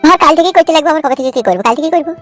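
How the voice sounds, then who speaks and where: A man's voice: extremely high pitch, racing, fairly bright tone, faint music behind. A man playing a put-upon, rueful village husband in a comic cartoon, plaintive and coaxing.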